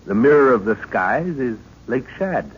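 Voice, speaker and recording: A man playing a middle-aged professor and father leading an expedition, measured and authoritative, in old-time radio drama style. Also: mid-range voice, sing-song, slightly rough, heavily acted. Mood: explaining. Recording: muffled, noisy old radio recording.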